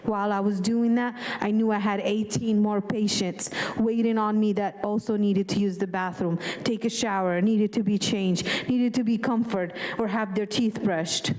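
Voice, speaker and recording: A woman's voice: mid-range voice, steady tone, normal speed, hardly any pauses, a little mellow, low-quality recording. A middle-aged woman from Lebanon, giving an earnest public comment with small grammar slips. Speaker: public commenter, nursing assistant.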